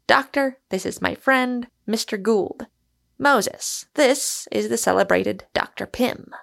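A witty, grandiloquent gentleman, voiced by a woman, declaiming with playful solemnity. The voice is cheerful and a little creaky, in storybook style. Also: on the high side, expressive, measured pace, voice pushed up. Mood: mock-solemn.

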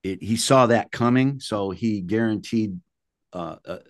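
A man's voice: fairly low pitch, measured pace, dry recording, studio quality. An older man, a musician being interviewed, telling anecdotes in a casual, blunt, salty and colloquial way.

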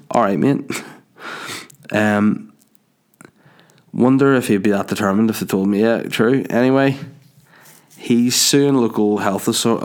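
Rambling crude guy. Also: lowish voice. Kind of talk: casual commentary.

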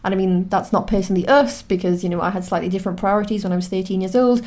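A woman's voice: mid-range voice, fairly even intonation, rapid-fire, dark tone. A woman in her twenties with a Newcastle accent, co-hosting a podcast in an upbeat, chatty way. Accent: Newcastle (Geordie). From Newcastle, England. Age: 20s. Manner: chatty, upbeat, frequent fillers. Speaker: podcast co-host. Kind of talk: casual podcast chat.

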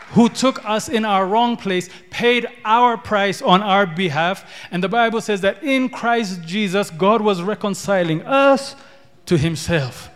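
High-pitched preacher guy from ethiopia, stacks up repeated lines, long hall echo. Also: a bit bright. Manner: repeats words, builds in rising declarations. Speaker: pastor. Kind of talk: sermon.

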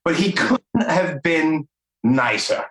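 A man's voice: fairly high pitch, lively intonation, takes it slowly, fairly bright tone. A man in his forties hosting an interview, talking loosely and with enthusiasm, full of fillers. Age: 40s. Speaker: podcast host. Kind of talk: casual interview chat. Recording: roomy echo.

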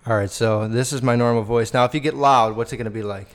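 A man's voice: medium pitch, steady tone, quick pace, a little hiss or hum. A young man hosting a podcast in casual, chatty talk, full of fillers and swearing. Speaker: podcast host. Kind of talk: casual chat.

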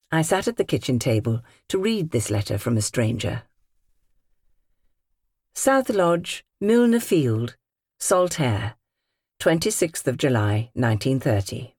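A woman with a British accent reading literary fiction as an audiobook narrator, measured and carefully phrased. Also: deep voice, darkish tone, no room sound, clean studio sound. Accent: British English. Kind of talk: scripted literary narration in character.

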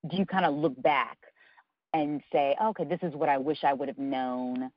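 A woman's voice: sits low, fairly even intonation, normal speed, no echo. Woman podcast host asking rambling questions. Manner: engaged, asks long rambling questions. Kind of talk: interviewing a guest. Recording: dull, muffled podcast audio.

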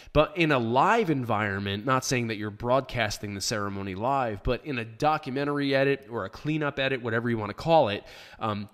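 A man hosting a live podcast, answering listener questions in a plainspoken, chatty way with plenty of ums. His voice is hoarse from shouting. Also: mid-range voice. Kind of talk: casual live podcast chat. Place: small home studio.

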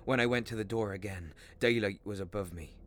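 An actor playing a troubled railway signalman in a radio ghost story, speaking gravely and haltingly with dramatic intensity. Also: on the low side, slight background noise, natural voice. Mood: haunted, dread. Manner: grave, halting, dramatic storytelling with hushed drops and anguished outbursts. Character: haunted, troubled railway signalman telling of a spectre. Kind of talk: radio play acting of a Victorian ghost story.

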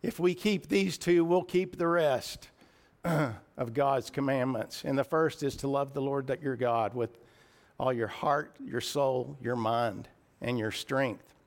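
A man with a raspy voice preaching a sermon in church, earnest and a little emotional, often clearing his throat. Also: medium pitch, slow.

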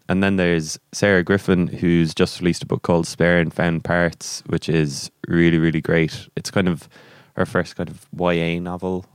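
A man's voice: low-pitched, clear, resonant tone, dry recording, studio quality. An Irish man hosting a podcast in a casual, rambling way, hesitating and correcting himself as he goes. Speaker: podcast host. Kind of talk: casual interview podcast chat.

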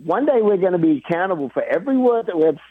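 A man being interviewed about drumming and faith, talking in an earnest, animated way and laughing easily. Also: high-pitched, fast talker, husky, dry recording. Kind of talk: casual interview chat. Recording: dry tinny phone-like line.

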